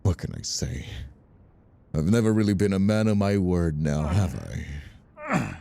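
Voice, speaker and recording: An actor playing a vicious, bitter mob rival in an audio drama, mocking and theatrical in his drawn-out menace. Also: deep voice, big pitch swings, gravelly, mellow, no room sound, exaggerated voice. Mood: cruel mockery. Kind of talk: audio roleplay drama acting.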